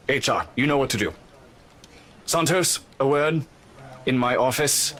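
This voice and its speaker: Authoritative office manager, average pitch, formal clipped delivery, visual novel drama. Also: male voice, slow, a bit bright. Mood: solemn, brisk. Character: businesslike, curt male office boss addressing staff.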